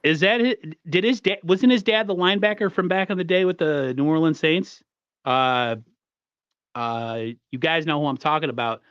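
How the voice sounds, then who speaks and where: A man's voice: fairly high pitch, normal speed, a bit bright, clear, resonant tone, no echo. Forties man, casual slightly dull-sounding sports chat. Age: 40s. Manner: rambling, slangy, joking. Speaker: sports show co-host.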